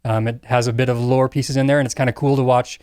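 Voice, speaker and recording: A man co-hosting a casual film discussion, piecing his thoughts together with pauses. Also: medium pitch, level tone, darkish tone, clean tone, dry recording, studio quality. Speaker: podcast co-host.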